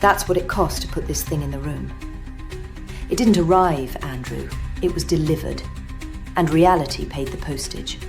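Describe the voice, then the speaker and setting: An actress playing a brisk, wry woman in charge of a secret archive, clipped and dry, in an audio drama. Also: deep voice, creaky. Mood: tense, determined. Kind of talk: scripted audio drama acting. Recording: music under the voice.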